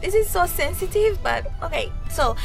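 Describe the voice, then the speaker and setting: A woman's voice: very high-pitched, moderate pace, gravelly, soft background music. A young woman from Tanzania, whose first language is not English, telling her story casually and animatedly as an interview guest. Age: young adult. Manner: animated, quoting others in lively asides.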